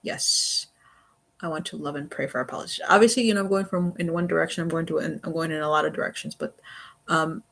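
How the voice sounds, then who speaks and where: A livestream host with a sharp, creaky voice at a middling pitch. The talk is casual and wandering, with halting restarts and repeated phrases, delivered earnestly. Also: steady tone. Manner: halting, repetitive, peppered with "you know".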